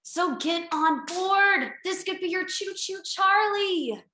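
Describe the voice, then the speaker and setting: A woman's voice: extremely high pitch, lively intonation, takes it slowly, bright, forceful tone, a little hiss or hum, full character voice. A woman playing a brash, self-absorbed company boss in a comedic table read, breezy, bossy and profane. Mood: flippant, patronizing. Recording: roomy home video-call audio.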